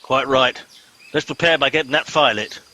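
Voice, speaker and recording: A man's voice: mid-range voice, moderate pace, bright, forceful tone, close to an everyday voice. A man playing a pompous, exasperated starship captain in a comic radio play. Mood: commanding. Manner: pompous, commanding.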